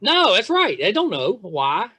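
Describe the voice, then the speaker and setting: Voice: mid-range voice, huge pitch swings, measured pace, sharp tone. A middle-aged podcast interviewer talking casually, with a chatty, self-deprecating, nostalgic manner.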